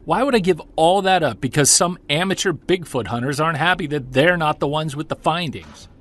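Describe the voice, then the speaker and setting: A man's voice: a little high, fairly quick, fairly bright tone. An actor playing a stubborn, driven Bigfoot hunter talking on the phone while he drives. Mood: defiant. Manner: defensive, restless. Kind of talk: audio drama acting. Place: driving a car.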